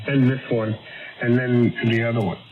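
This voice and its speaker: A man's voice: medium pitch, flat delivery, moderate pace, a loud crowd. An older man chatting on the air as a ham radio operator, rambling with many fillers. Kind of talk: casual on-air chat. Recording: tinny radio signal under loud static.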